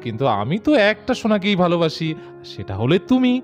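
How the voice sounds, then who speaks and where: A performer voicing a sweet-talking young fiancé in a doll cartoon, teasing and affectionate. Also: male voice, high-pitched, very animated, quick pace, muffled recording, faint music behind.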